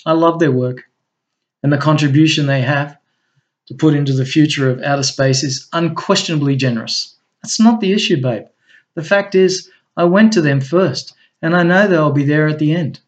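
A man voicing an eager, charming husband who coaxes and flatters his partner. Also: on the high side, normal speed, lightly acted. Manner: enthusiastic, coaxing. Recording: dull lo-fi recording.

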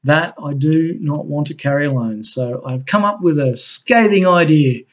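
A man voicing an eager, charming husband who coaxes and flatters his partner. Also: a little high, moderate pace, lightly acted. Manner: enthusiastic, coaxing. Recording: dull lo-fi recording.

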